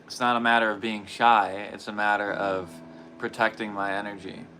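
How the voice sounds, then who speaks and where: A hoarse, worn-out man with a lowish voice talks in a soft, halting way, circling back over his own phrases. There is a clear hiss of noise around his words on this home stream. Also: little pitch movement. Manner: soft-spoken, halting, with long pauses and repetitions. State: hoarse, tired voice. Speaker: guitarist livestreaming practice. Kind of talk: slow reflective personal talk.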